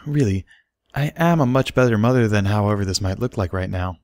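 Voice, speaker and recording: A man's voice: fairly low pitch, expressive, a little fast, darkish tone, no echo, studio quality. A man voicing a shy, easily flustered pony mother with a hesitant, stammering delivery in a storybook reading. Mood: sheepish.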